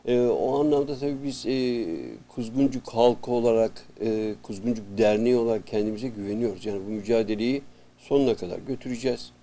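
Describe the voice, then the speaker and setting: A man's voice: mid-range voice, steady tone, fast, warm tone. A man speaking in an interview as a spokesman for a neighbourhood association. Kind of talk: interview talk. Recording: background ambience, faint noise.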